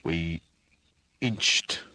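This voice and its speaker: An Australian actor playing a tough, wisecracking private detective in an old-time radio crime drama, narrating in terse, clipped slang with a rough edge. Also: male voice, drawn-out words, bright, forceful tone, no echo, exaggerated voice. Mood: terse, wary.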